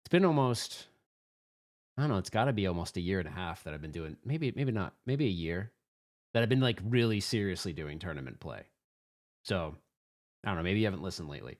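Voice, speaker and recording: Upbeat man with lively, swinging pitch. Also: a little fast. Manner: upbeat, earnest, rambling. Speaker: host of a live pinball podcast. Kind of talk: casual chat, reacting to voicemails.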